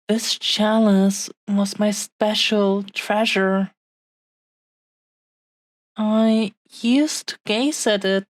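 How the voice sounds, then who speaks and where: A woman playing a tender, possessive dragon woman in love in a romantic audio roleplay, with a heartfelt, halting, earnest delivery. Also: medium pitch, a little mellow. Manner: heartfelt, halting, earnest pauses between short phrases. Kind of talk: romantic audio roleplay, intimate scripted monologue.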